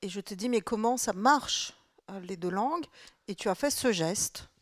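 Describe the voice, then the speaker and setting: A French-born woman speaks at a live literary talk. Her voice sits at an ordinary middle pitch, and the sound is dry and close. She reflects aloud in long, winding sentences, pausing on fillers as her thoughts unfold. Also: animated, no echo. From France. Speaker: writer and guest speaker. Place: public talk on stage.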